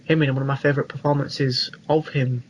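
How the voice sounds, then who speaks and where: A man's voice: medium pitch, level tone, fast talker, a little hiss or hum. A young British man co-hosting a film podcast in a casual, informal and emphatic way. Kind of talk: casual chat.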